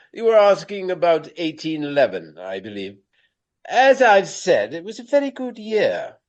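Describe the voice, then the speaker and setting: An aging Regency actor for a radio play. He is a pompous, nostalgic dandy whose rather high voice swoops theatrically through florid phrases, with grand pauses. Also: muffled recording.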